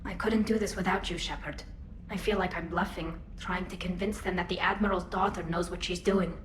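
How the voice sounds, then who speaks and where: A woman's voice: fairly low pitch, steady tone, a little fast, natural voice. An actress voicing an earnest, hesitant young alien woman, a self-doubting admiral in a video game cutscene. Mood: anxious, burdened. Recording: roomy echo with clear background noise.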